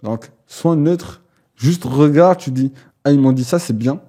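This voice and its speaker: A young man whose first language is not French, talking casually as a podcast guest, animated and laughing often. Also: mid-range voice, measured pace, breathy edge. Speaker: guest, content creator.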